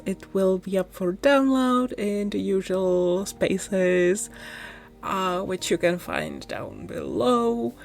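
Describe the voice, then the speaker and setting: A woman chatting casually in a voice-over for her Sims channel, tired and losing her voice late at night, rambling and correcting herself with small laughs. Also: medium pitch. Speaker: Sims content creator.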